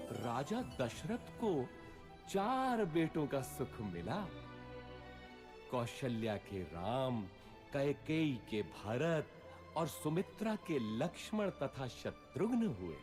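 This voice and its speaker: A man narrating a mythological tale as a scripted storyteller in a formal, stately manner. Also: medium pitch. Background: music.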